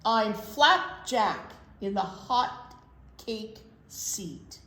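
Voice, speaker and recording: A woman reading aloud to small children as a storytime teacher, with expressive, drawn-out delivery and playful asides. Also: mid-range voice. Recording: roomy echo, faint noise.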